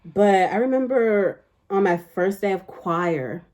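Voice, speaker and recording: A young adult woman chatting casually through a makeup tutorial in a fairly deep voice, rambling with lots of fillers. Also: animated, slow pace, a little mellow. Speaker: beauty vlogger.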